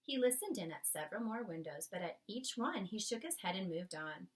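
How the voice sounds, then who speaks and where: A woman reading a children's book aloud expressively, with careful, dramatic phrasing and pauses. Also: mid-range voice, big pitch swings, recorded from a distance. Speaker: storybook reader.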